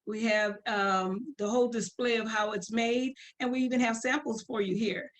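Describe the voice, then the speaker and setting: A woman who represents a community museum, speaking informally and hesitantly with frequent pauses and fillers. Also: fairly high pitch, fairly even intonation. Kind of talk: informal guest talk.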